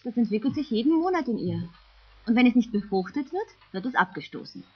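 Patient mother, husky, lively intonation, frank explanations, children's radio play, dull tape sound. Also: fairly high pitch, a little fast. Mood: gentle, brisk. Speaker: actor in a children's audio play. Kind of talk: educational radio play dialogue.

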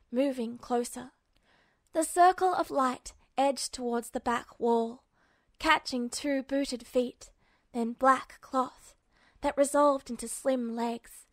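A woman's voice: sits high, no echo, clean studio sound. A woman reading a scripted story aloud as an audiobook-style fan fiction narrator. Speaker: podfic narrator.

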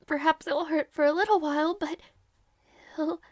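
Woman acting a high-voiced, hesitant young maid. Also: fairly even intonation, no echo, studio quality, close to an everyday voice. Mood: sad, wistful. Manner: soft, hesitant, halting, polite. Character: young female maid, devoted, anxious, secretly heartbroken. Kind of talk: audio roleplay acting.

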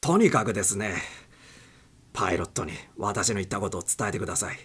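A man's voice: fairly low pitch, expressive, a little fast, a bit bright, husky. A man voicing a desperate, panicked male passenger in a story reading, urgent and pleading. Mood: insistent.

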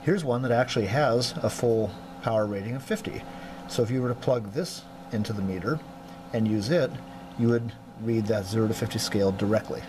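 A man giving a methodical hands-on demonstration of electronics test equipment as an instructor, clearing his throat now and then, at a workbench indoors. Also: on the low side, brisk, background noise. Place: indoor workroom.

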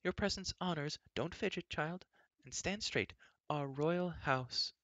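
A man's voice: on the high side, a bit bright, no echo, dull audio, voice pushed up. Man putting on a fussy, bossy storybook queen. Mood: fussy scolding. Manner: scolding, brisk and bossy. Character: fussy bossy chattering stout queen, middle-aged woman.

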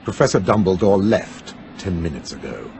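A man's voice: low-pitched, expressive, moderate pace, darkish tone, slight background noise. A man playing a stern, sneering wizard-school professor in a video game, English accent, clipped and menacing delivery. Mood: stern, dismissive. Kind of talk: video game cutscene acting.

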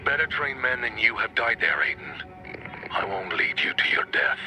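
Weary washed-up leader, middle-aged, lowish, very varied intonation, video game drama. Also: male voice, big pitch swings, moderate pace, music in the background. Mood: grim, resigned. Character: weary older washed-up drunk ex-leader on radio.

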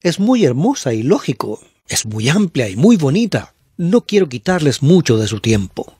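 An actor playing a courteous, appreciative small-town mayor in a radio drama. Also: male voice, a little high, very animated, moderate pace, dark tone, breathy edge, dry recording, clean studio sound, exaggerated voice. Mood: cordial.

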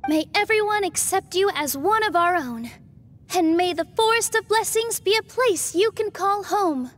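Gentle, very high-voiced forest fairy. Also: female voice, animated, moderate pace, slight background noise. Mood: tender blessing. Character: gentle young female forest fairy, kind and serene. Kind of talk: video game voice acting.

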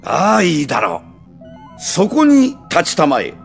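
A male actor playing a pompous, excitable alien spaceship captain in an anime audio drama, with grandiose, old-fashioned speech. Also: a little high, unhurried, gravelly, faint music behind, heavily acted. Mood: blustering.

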